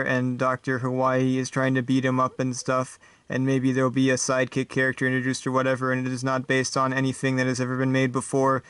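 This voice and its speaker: A man voicing a literal-minded, resigned robot sidekick in a stiff, deadpan way for a comedy talk show. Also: mid-range voice, clear, resonant tone, no echo, distant mic, close to an everyday voice. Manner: deadpan, stiff, slow, avoids contractions.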